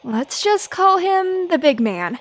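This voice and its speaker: An actress playing a brash, tenacious 1930s newspaper reporter, feisty and full of snappy period slang. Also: female voice, extremely high pitch, big pitch swings, slow pace, no room sound, full character voice. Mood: insistent. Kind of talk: old-time radio drama acting.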